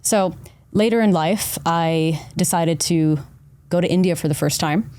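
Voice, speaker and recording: A woman hosts a podcast and tells her story earnestly, often checking in with "right?" Her voice is very low and warm. The sound is dry and close. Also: a little slow, no echo. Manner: earnest, animated storytelling with many "right?" tags. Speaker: podcast host. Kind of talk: casual podcast conversation.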